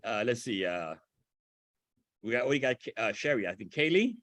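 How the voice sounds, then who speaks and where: A man's voice: medium pitch, big pitch swings, normal speed, fairly bright tone, no echo. An older man from Haiti leading a ground school meeting on a video call, talking casually and often restarting his phrases.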